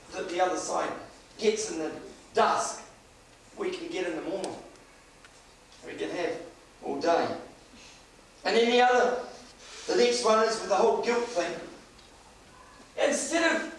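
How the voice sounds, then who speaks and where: Middle-aged New man, high-voiced storytelling preacher, distant mic in a booming hall. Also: slight background noise. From New Zealand. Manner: storytelling, chuckling, animated. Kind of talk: sermon with personal story. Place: church hall. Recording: echoey hall recorded from afar.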